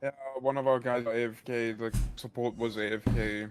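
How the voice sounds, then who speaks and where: A man's voice: mid-range voice, flat delivery, normal speed. A man chatting casually on a gaming voice chat, griping and swearing freely. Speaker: gamer on a team voice chat. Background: video game music.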